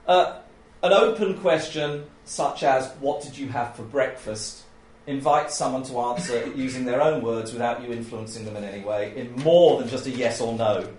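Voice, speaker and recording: A loud-voiced man teaching a workshop on interviewing. He chats casually, laughs often, and acts out the questions he quotes. His voice sits at a middle pitch, and the room around him echoes. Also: moderate pace, a little hiss or hum. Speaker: oral history trainer. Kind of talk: informal workshop talk.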